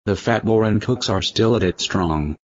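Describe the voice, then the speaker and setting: A man's voice: lowish voice, moderate pace. A man reading a scripted mock civil danger warning as an alert announcer. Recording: dull lo-fi audio, faint noise.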